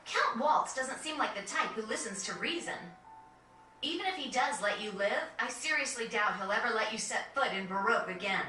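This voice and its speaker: Game sidekick: young, worried, direct, bright lively voice with echo. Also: female voice, a little high, moderate pace. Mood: doubtful. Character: young, excitable, worried companion. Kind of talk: dramatic video game cutscene acting. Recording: echoey, distant, faint music.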